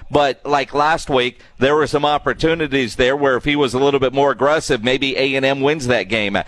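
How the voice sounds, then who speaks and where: A man's voice: mid-range voice, steady tone, a little fast, fairly bright tone, no echo. Dry, close-sounding male sports radio host. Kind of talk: conversational interview questioning.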